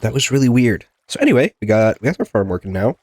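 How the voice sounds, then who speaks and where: A man's voice: medium pitch, sing-song, fast talker, a little mellow, no room sound. A man with a congested, plugged-up nose from a cold gives casual, rambling game commentary, often talking to himself and to the game's characters. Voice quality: congested, stuffy-nosed. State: getting over a sickness, plugged nose. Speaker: host of a gaming video.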